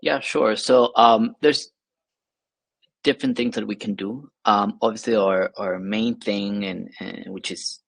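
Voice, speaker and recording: A man's voice: lowish voice, fairly even intonation, a little slow, creaky, husky, no echo. A man from Venezuela, a guest explaining his work in a webinar interview, hesitant with many fillers and restarts. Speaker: guest, investment platform associate.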